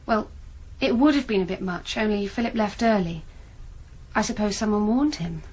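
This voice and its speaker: A woman's voice: mid-range voice, moderate pace, darkish tone, slightly rough, close to an everyday voice. An actress playing an anxious, earnest young female student in a radio drama. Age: young adult.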